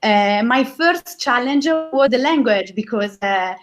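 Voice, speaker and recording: A woman from Italy with an Italian accent, Italian her first language, asking interview questions hesitantly with many pauses. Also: a little high, expressive.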